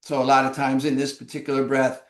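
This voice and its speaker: Calm man guiding yoga breathing. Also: medium pitch, roomy sound. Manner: slow, calm, measured cues. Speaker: yoga instructor. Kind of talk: guided breathing instruction.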